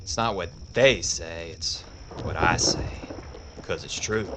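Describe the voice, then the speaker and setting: A man playing a cool, deep-voiced outlaw. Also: heavy background noise. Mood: calm. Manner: slow, quiet, unhurried warnings. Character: calm, deadly male outlaw gunfighter. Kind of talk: audio drama acting.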